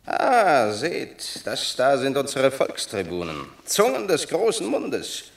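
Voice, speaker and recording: A proud, scornful Roman war hero. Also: male voice, medium pitch, huge pitch swings, slow, creaky, echoey room, exaggerated voice. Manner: scornful, declamatory. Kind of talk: classic stage radio drama.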